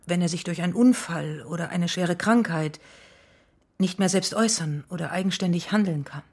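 A woman playing a direct, principled family doctor in a radio play, speaking in a measured, formal way. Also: fairly low pitch, a little mellow, lightly acted. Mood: careful, probing. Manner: measured, matter-of-fact, formal.

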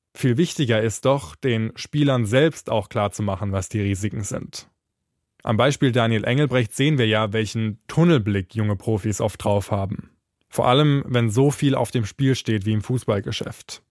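A man's voice: mid-range voice, normal speed, no room sound. Man hosting a podcast, dry close sound. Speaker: podcast host and reporter. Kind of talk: scripted podcast narration and commentary.

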